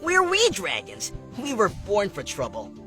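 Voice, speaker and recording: A man's voice: sits high, huge pitch swings, measured pace, sharp tone, exaggerated voice. A man voicing a brave, level-headed young cartoon dragon in an earnest, resolute manner. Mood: steady, resolute. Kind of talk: animated cartoon voice acting. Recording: music behind the voice.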